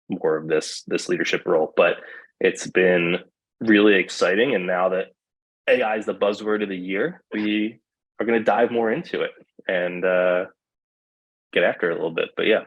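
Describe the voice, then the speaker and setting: A man's voice: sits low, slightly rough, low-quality recording. A man leading a sales team, introducing himself casually on a webinar. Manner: relaxed, casual American phrasing. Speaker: sales team lead, panelist. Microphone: video call.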